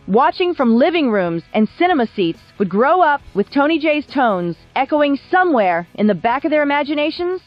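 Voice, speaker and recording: High, animated woman narrating over music. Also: lo-fi sound. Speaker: documentary narrator. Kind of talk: scripted narration of a biography video. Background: background music.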